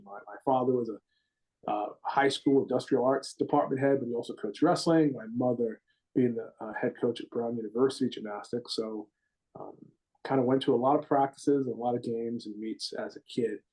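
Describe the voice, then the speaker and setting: Mellow-voiced man with a Rhode Island accent. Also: mid-range voice, a little fast, low-quality recording. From Rhode Island, USA. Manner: rambling, repeats words and restarts often. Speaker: gymnastics head coach, guest. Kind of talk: podcast interview.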